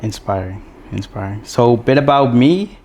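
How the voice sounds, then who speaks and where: A young man from Sri Lanka co-hosts a live podcast, talking loosely and circling back on his words. His voice sits at an ordinary pitch for a man, and he keeps the chat casual with plenty of fillers and restarts. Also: normal speed, a little mellow. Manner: rambling, many restarts and fillers. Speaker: podcast co-host.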